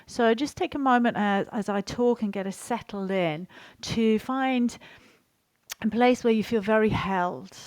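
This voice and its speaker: A woman with a mid-pitched voice leads a guided body scan as a yoga and meditation teacher. Her delivery is gentle and soothing, unhurried and spaced with pauses. The sound is dry, with very little room around it. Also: dry recording.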